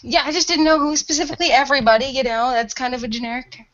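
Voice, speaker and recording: A woman with a somewhat high voice improvises lines in a role play. She sounds casual and offhand, with a sarcastic edge. The recording is a little muffled. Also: steady tone, rapid-fire, fairly bright tone. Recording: dull lo-fi audio.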